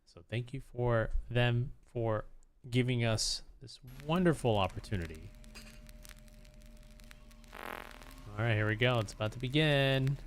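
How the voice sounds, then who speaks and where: A man's voice: mid-range voice, measured pace, pauses often, a little hiss or hum. A man hosting a livestream, chatting casually to viewers in a rambling, hesitant way and often repeating himself. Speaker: live streamer host.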